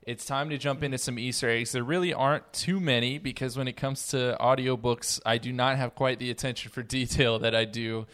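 A man's voice: mid-range voice, steady tone, brisk, few pauses, a bit bright. An American man with a mid-range voice hosts a fan discussion. He keeps it casual, easygoing and a little folksy as he moves things along. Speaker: podcast host. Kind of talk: casual hosting.

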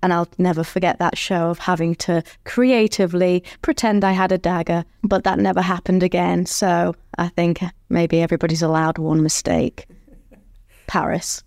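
A woman, a former ballerina who now works as an audio describer, telling stories in a lively, good-humoured way. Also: fairly low pitch, normal speed, no room sound, studio quality. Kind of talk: conversational storytelling.

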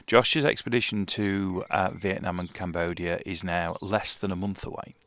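A man hosting a casual solo podcast, speaking outdoors in a garden. He is croaky and nasal from hay fever, and rambles conversationally with frequent ums and restarts. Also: deep voice, fairly even intonation, moderate pace, fairly bright tone. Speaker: podcast host.

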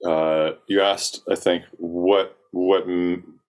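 Young guy with a super deep voice, pretty monotone, rambly interview chat full of ums. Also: takes it slowly. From South Carolina, US. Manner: relaxed, rambling, frequent ums and likes. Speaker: guest singer-songwriter. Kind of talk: casual interview talk.

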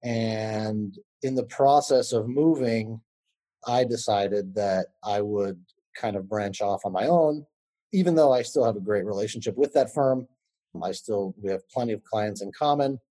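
A man in his thirties speaks in a low, mellow voice with a slightly husky texture. He is an attorney on a podcast and answers in a measured, conversational way, pausing on fillers and restarting his sentences.

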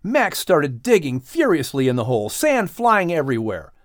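A man narrating a scripted children's story as an audiobook reader, with expressive delivery. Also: fairly high pitch, normal speed, no room sound, studio quality.

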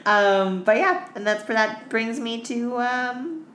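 A woman podcast co-host telling stories in casual, crude slang with an animated, giggly manner. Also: fairly high pitch, takes it slowly, slight background noise. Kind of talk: casual chat, storytelling. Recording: roomy, echoey room.

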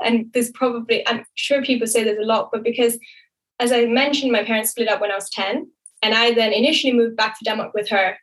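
A young woman with a somewhat high voice speaks freely as a podcast guest. She talks in a casual, chatty way, often tacking "right?" onto her points and laughing lightly as she goes. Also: low-quality recording. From Thailand. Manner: chatty, frequent "right?" tags and quick laughs. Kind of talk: casual interview talk.

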